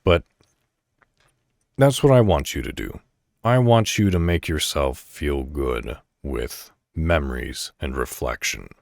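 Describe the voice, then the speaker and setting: Deep-voiced man with swooping, expressive intonation. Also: slow pace, creaky, no echo, studio quality. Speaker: podcast host. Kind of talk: reflective solo monologue addressed to listeners.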